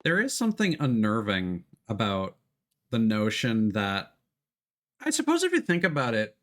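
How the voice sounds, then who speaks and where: A man's voice: on the low side, huge pitch swings, moderate pace, a bit bright. A man streaming a video game and chatting casually, rambling with many fillers and wry asides. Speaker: VTuber streamer. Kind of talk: casual let's-play commentary.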